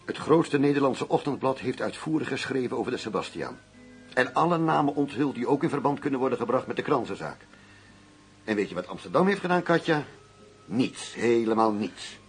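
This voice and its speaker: An actor playing a stubborn, embittered undercover police detective in a radio play, indignant and sardonic in manner. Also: male voice, mid-range voice, animated, fairly quick, soft background music, close to an everyday voice. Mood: frustrated. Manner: indignant, sardonic, plain-spoken. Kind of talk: radio drama acting.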